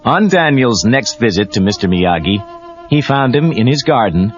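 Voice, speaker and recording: A man's voice: mid-range voice, very animated, moderate pace, faint music behind. A man narrating a scripted read-along story for children as the storyteller. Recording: muffled lo-fi read-along cassette tape.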